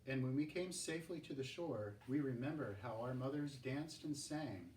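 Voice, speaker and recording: A man's voice: medium pitch, normal speed, darkish tone. A man reading scripture aloud with his family in a church service, speaking earnestly in the first person as Moses. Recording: echoey church with faint background noise.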